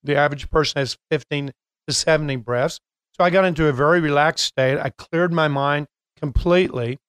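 An older man hosting a coaching podcast, speaking casually with frequent filler pauses. His voice is smooth and clear, set a bit higher than most. The studio sound is dry and close. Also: no room sound. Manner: chatty, many filler pauses. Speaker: host and coach.

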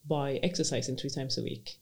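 A woman's voice: rumbling low, steady tone, a little fast. A young woman hosting a casual conversation in English, talking in a chatty, reflective way with lots of fillers. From Sweden. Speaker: interviewer.

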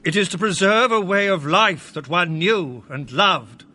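A bright, somewhat high voice plays an old merchant. He is kindly but sorrowful, earnest and theatrical, as in a radio play. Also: a little slow, exaggerated voice. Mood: wistful, defeated. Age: older. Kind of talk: family radio drama acting.

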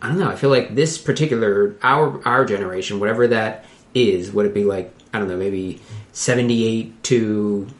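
A man in his late thirties hosting a casual TV recap podcast, talking haltingly as he searches for his words. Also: on the low side, echoey room. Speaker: podcast host.

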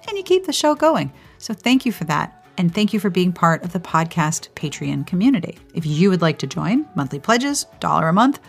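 A woman hosting a podcast in a chatty, playful way, reading intros and putting questions to guests. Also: on the low side. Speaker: podcast host and interviewer. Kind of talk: upbeat podcast intro, ad read and interview.

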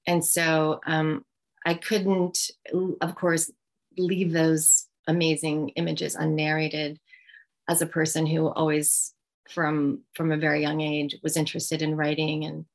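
Low, gravelly-voiced woman author, halting. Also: monotone. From United States. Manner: thoughtful, halting, many ums. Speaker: author guest. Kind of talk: reflective interview answer.

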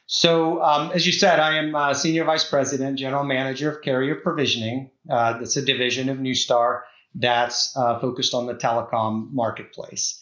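A man's voice: medium pitch, steady tone, moderate pace, a bit bright, echoey room, highs cut off. A middle-aged man from the Czech Republic, a guest executive talking in a podcast interview, hesitant, with many fillers and restarts.

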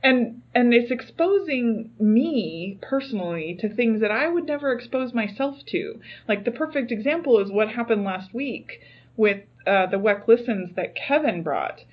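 Excitable, breathy woman podcaster. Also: a little high, moderate pace, highs cut off. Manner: gushing, excitable, many fillers. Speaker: podcast host and editor. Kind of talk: casual chat.

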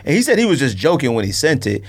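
A man in casual podcast conversation. His pitch is mid-range but moves around a lot, lively and expressive. His wording is slangy and informal, like a host talking with friends. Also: very fast, husky. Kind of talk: casual sneaker podcast chat.

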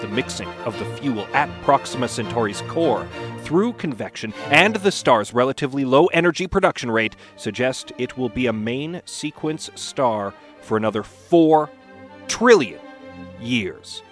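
A man performing as a solemn dramatic reader, deliberate and full of weighty pauses. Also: medium pitch, expressive, a bit bright. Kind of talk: mock dramatic reading of an encyclopedia text. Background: music behind the voice.

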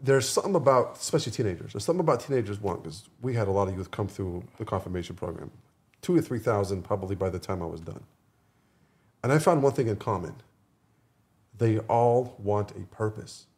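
A man's voice: on the low side, expressive, a little fast, darkish tone. A man talking earnestly in a casual podcast discussion, often repeating phrases for emphasis. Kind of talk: casual conversation.

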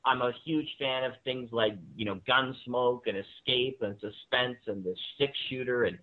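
A man talks casually as an interview guest over a narrow, phone-like connection. His voice sits at a medium pitch, and he rambles along with enthusiasm. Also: normal speed. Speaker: guest, audio drama writer and director. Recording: narrow-band phone-like line.

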